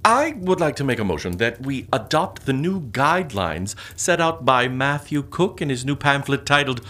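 An actor playing a self-important, zealous Puritan gentleman in a comic audio drama, formal and pompous. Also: medium pitch, sing-song, moderate pace. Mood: eager. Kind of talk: comic audio theatre acting, archaic diction.

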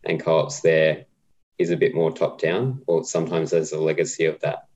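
A man talking casually as an interview guest, relaxed and hesitant with frequent ums. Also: extremely low pitch, moderate pace, muffled recording. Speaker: guest, co-op policy adviser.

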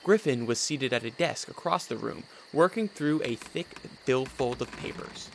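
A man's voice: mid-range voice, lively intonation, moderate pace, creaky, a bit bright, a little hiss or hum. A man reading scripted narration for a radio drama.

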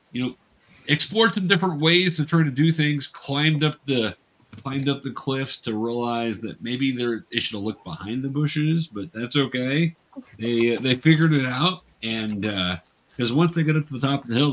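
A man hosting a tabletop game as game master, explaining things patiently and casually in a halting way. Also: medium pitch, a bit bright. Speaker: game master and show host. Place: remote video call.

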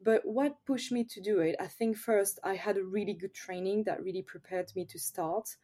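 A woman's voice: medium pitch, normal speed, mellow. A French woman with a French accent, a yoga teacher chatting openly as a podcast guest, with many "you know"s. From France. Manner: chatty, open, frequent fillers like "you know". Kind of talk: casual podcast interview.

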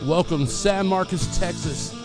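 A man's voice: high voice, unhurried, over music. A man reading a promo spot for his community radio show. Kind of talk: station promo.

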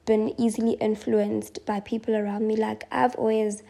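A woman in her twenties with a South African accent, hosting a solo podcast and reflecting softly and thoughtfully, with frequent pauses and ums. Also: mid-range voice, flat delivery, creaky. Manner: soft-spoken, slow and thoughtful with frequent ums. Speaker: podcast host.

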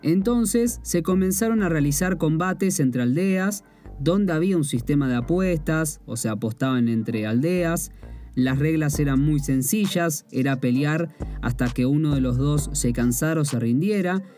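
A young man from Argentina with an Argentine Spanish accent, calmly explaining a martial art and restating points with asides. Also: a little high. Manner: measured, explains terms with "o sea" asides. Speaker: martial arts practitioner presenting. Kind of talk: explanatory presentation. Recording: faint guitar music behind.

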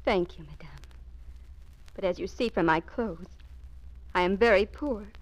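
Actress as a composed, quietly scheming poor girl; level mid voice, old radio play. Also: mid-range voice, measured pace, natural voice. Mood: calculating. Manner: calm, polite, quietly scheming. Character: poor, beautiful young cleaning girl, calm and calculating.

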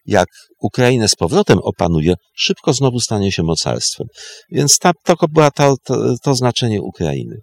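A man explaining history off the cuff as a historian, emphatic and halting, often correcting himself and repeating phrases. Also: medium pitch, no room sound, clean studio sound. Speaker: historian, interview guest. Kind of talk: spoken historical explanation, unscripted.

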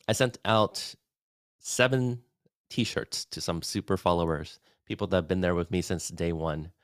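A man with a very low voice talks into a studio mic at his desk. He rambles in a relaxed, upbeat way. The sound is close and dry, with no room echo. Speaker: podcast host giving an update. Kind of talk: casual solo update. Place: home office studio. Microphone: studio dynamic mic at desk.

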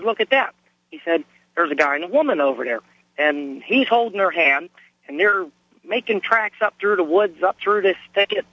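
A man from Pennsylvania, calling a radio show while hoarse from a cold, telling a story in a plain, folksy way. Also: sits high, no room sound. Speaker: caller. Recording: dry, muffled phone line.

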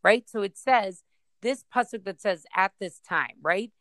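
A woman's voice: mid-range voice, measured pace, a bit bright, dry recording. Woman musing through a Talmud passage, dry sound. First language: English. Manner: thinking aloud with many fillers and self-corrections; reads Aramaic passages then paraphrases. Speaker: study partner. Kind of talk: casual Talmud study discussion.

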